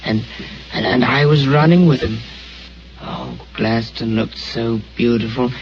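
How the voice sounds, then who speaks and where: An actor playing a gentle, simple-minded young man who stammers with childlike wonder, in a 1940s radio play. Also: mid-range voice, a little slow, a little hiss or hum, lightly acted. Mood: dreamy. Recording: muffled old radio broadcast.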